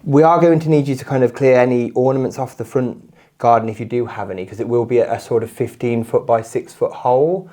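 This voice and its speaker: A man's voice: mid-range voice, steady tone, fairly quick, mellow, natural voice. A man playing a matter-of-fact council utilities officer on a prank call, polite and officious with some hesitation.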